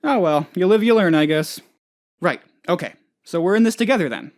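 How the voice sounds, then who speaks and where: An actor playing a naive, anxious, scatterbrained office boss who rambles and gets sidetracked, in a video game. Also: male voice, high-pitched, expressive, a little fast, a little mellow. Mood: resigned, worried. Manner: rambling, absent-minded, easily sidetracked. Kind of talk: video game character voice lines.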